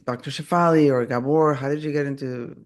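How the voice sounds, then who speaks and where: Relaxed podcast host, casual man. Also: mid-range voice, brisk. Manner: relaxed, casual, affirming interjections. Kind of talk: casual conversational interview.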